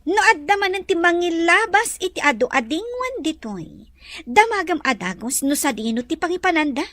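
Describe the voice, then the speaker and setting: A woman's voice: extremely high pitch, sing-song, moderate pace, a bit bright, dry recording, heavily acted. A woman with an Ilocano accent playing a bossy, teasing lady employer in a radio drama. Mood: bossy, scolding.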